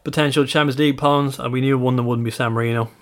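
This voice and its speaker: A man's voice: mid-range voice, steady tone, very fast. Chatty Irish man hosting. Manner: chatty, laughs often, corrects himself. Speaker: podcast host. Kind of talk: casual chat.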